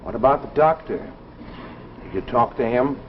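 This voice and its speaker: An actor as an inquisitive man in a vintage 1950s drama, prompting with questions. His lively intonation sounds distant from the microphone on a muffled old recording. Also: mid-range voice, moderate pace, natural voice. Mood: curious. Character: inquisitive male listener questioning a troubled man. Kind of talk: 1950s television drama acting. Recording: distant, muffled old broadcast, faint hiss.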